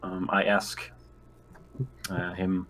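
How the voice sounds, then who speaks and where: A man's voice: low voice, monotone, extremely slow, fairly bright tone. A man chatting casually as a player in a tabletop roleplaying game.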